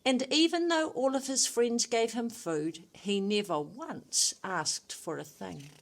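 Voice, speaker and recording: A woman's voice: a little high, slow pace, vocal fry. A woman storyteller reading a rhyming children's story expressively.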